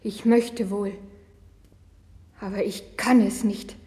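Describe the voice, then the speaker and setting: A woman's voice: medium pitch, steady tone, slow, dark tone, natural voice. An actress in a religious audio drama playing an anguished, pleading young Chinese woman with leprosy who is losing her faith. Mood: despairing. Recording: long hall reverb, vintage vinyl record.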